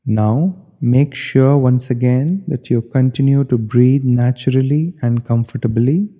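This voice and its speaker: A man guiding a meditation as an instructor, giving measured step-by-step directions in short phrases. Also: mid-range voice, warm tone. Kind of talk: guided meditation narration. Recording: long hall-like reverb.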